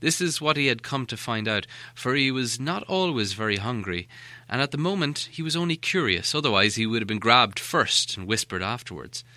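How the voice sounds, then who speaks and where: A man reading a storybook aloud as an expressive audiobook narrator. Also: mid-range voice, normal speed, no room sound.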